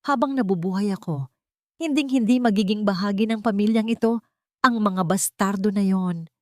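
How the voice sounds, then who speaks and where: A woman's voice: medium pitch, normal speed, a little mellow, no echo, clean studio sound, voice pushed up, lightly acted. A woman voicing a haughty, scornful older matriarch in a dramatic story, imperious and threatening. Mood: furious, threatening. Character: furious, haughty, menacing older matriarch mother-in-law.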